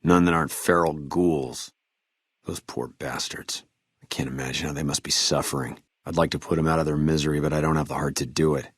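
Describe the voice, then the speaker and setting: An actor playing a weary, dutiful army trooper in a video game, speaking in a measured, earnest soldierly way. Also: male voice, rumbling low, fairly even intonation, breathy edge, no room sound, clean studio sound. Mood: somber. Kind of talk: video game dialogue acting.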